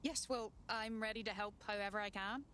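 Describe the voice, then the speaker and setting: A woman's voice: mid-range voice, steady tone, brisk, a bit bright, a little hiss or hum, close to an everyday voice. Woman as a suspicious, intense conspiracy theorist. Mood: suspicious, insistent. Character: paranoid female conspiracy theorist, insistent, suspicious. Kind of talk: video game cutscene dialogue.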